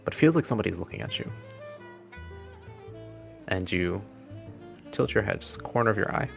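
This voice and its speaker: A man narrating as game master of a tabletop game, improvising in a halting, conversational way. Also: on the low side, creaky, soft background music.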